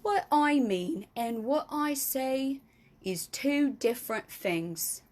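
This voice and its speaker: A woman voicing a kindly, very old male giant in a storybook reading, booming and drawn out, mangling his words and grammar. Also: expressive, measured pace. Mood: grand, disgusted.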